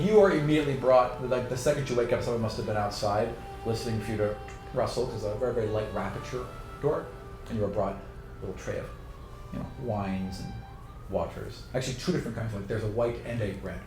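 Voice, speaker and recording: A man's voice: mid-range voice, fairly quick, over music. A man narrating an improvised tabletop game as game master, shifting between vivid storytelling and casual banter. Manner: dramatic descriptive storytelling mixed with casual banter and swearing. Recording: roomy echo, clear background noise.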